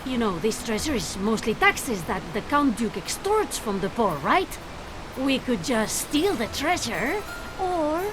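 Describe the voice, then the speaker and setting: A bold young heroine of a swashbuckling game. The high voice moves animatedly, sassy and full of spirit, with clear music behind. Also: female voice, sing-song, measured pace, rough recording. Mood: defiant. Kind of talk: animated video game acting.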